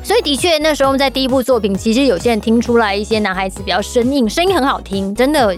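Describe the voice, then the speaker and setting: A woman's voice: fairly high pitch, expressive, racing. A woman with a Taiwanese Mandarin accent chatting casually and telling stories in a playful, teasing way. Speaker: podcast participant talking about BL audio drama dubbing. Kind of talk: casual chat. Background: music.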